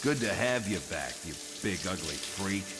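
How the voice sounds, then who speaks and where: Gruff brawler man acting, lowish voice, crude and sardonic, hissy noise behind. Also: expressive, normal speed. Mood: grumbling. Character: gruff, wisecracking tough male mutant brawler. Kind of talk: motion comic voice acting.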